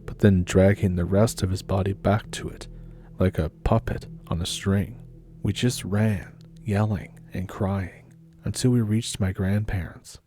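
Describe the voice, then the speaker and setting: A man reading submitted scary stories as a storytelling host, in a measured, unhurried scripted narration. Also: low voice, dark tone.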